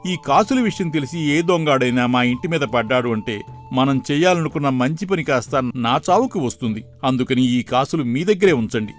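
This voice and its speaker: A man acts out an elderly village priest for a moral tale cartoon. He is kindly and firm, a shrewd adviser who speaks at a middle pitch, with music playing underneath. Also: lively intonation, fairly quick, close to an everyday voice. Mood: earnest, advising. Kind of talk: moral story cartoon dialogue.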